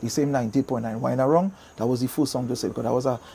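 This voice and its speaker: A man's voice: mid-range voice, fairly even intonation, racing, gravelly, dark tone, slight background noise. A middle-aged man with a Trinidadian accent, reminiscing casually as an interview guest and repeating phrases for emphasis. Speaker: guest, DJ and soca singer.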